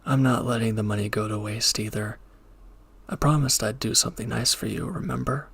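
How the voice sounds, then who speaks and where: A husky, crackling low voice belongs to a reformed villain played by a man. He is a protective lover with a firm streak, speaking in an intimate audio drama. His pitch stays fairly contained. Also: steady tone, brisk, a bit bright. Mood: soft, devoted. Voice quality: gravelly, breathy. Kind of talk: intimate audio roleplay acting.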